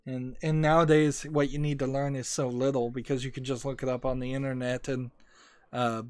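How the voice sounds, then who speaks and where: A man's voice: medium pitch, little pitch movement, a little fast, darkish tone, clear, resonant tone, no echo, clean studio sound. A young man streaming a card game, rambling through opinions and reading chat messages aloud. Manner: rambling, opinionated, many restarts and filler. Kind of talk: casual commentary while gaming, reading chat.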